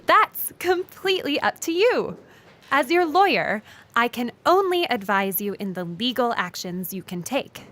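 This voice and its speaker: A woman playing an earnest, absurdly literal lawyer, formal and deadpan, in an audio comedy sketch. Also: on the high side, a little slow.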